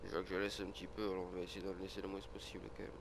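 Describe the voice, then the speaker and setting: A man's voice: deep voice, monotone, racing, noisy background. A man with a cold talking casually over his farming game, rambling with many fillers and restarts. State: has a cold, sniffling. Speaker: gaming video creator. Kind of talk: casual gameplay commentary.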